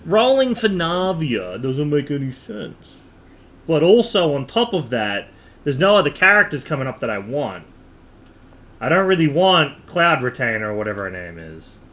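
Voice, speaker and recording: A man's voice: on the high side, big pitch swings, moderate pace, fairly bright tone. A man streaming a game in casual chat, rambling and thinking aloud with the odd casual swear, over noticeable background noise. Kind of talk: casual live stream chat while gaming.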